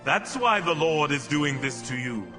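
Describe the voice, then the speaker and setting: Slow, stern ghostly prophet. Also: male voice, on the high side, animated, music in the background. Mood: grave, condemning. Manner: slow, solemn, condemning. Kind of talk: dramatized Bible audio acting.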